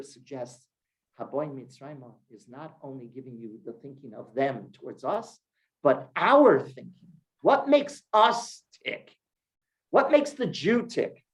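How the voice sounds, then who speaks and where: A man from Cleveland, a rabbi teaching a congregation, who stresses key words and then drops his voice low. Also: darkish tone, poor audio. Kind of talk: Torah class sermon. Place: synagogue hall with congregation.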